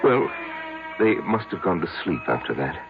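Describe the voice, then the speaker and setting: A haunted man confessing to his psychiatrist in a radio drama. His voice is extremely low, brooding and halting. The old broadcast sounds muffled, with music clearly behind him. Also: sing-song. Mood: troubled, halting. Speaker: narrator of the story.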